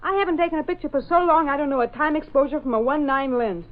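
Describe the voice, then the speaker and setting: An actress playing a sassy, quick-witted young newspaper photographer who talks in wisecracks in a 1930s radio drama. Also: female voice, high voice, natural voice. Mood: sassy, bargaining. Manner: wisecracking, slangy. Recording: muffled band-limited old radio recording.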